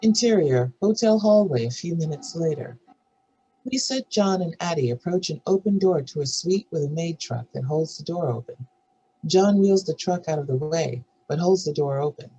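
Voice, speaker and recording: Woman reading out scene directions, raspy breathy voice, scripted narration. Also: low-pitched, lively intonation, normal speed, mellow, muffled recording.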